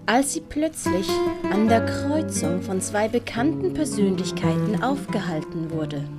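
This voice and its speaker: A woman's voice: medium pitch, big pitch swings, measured pace. Woman narrating over prominent music. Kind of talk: scripted audiobook narration.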